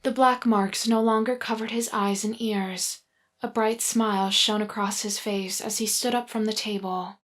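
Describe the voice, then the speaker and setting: A woman's voice: mid-range voice, steady tone, moderate pace, fairly bright tone. A woman narrator reading a scripted dramatic story for an audio fiction serial.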